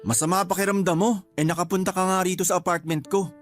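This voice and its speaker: A man's voice: high-pitched, steady tone, fast talker, faint music behind, natural voice. A man playing a sulky, complaining young boyfriend in a radio drama, whiny and exasperated in manner. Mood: coaxing. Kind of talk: Tagalog radio drama acting.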